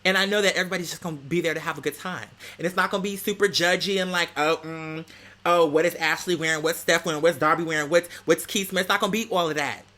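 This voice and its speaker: A man's voice: a little high, steady tone, fast talker, bright, forceful tone. A man chatting casually to supporters like a podcast host, in a sassy, slangy, emphatic storytelling way. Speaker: online creator and podcast host. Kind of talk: casual gossipy vlog chat to supporters.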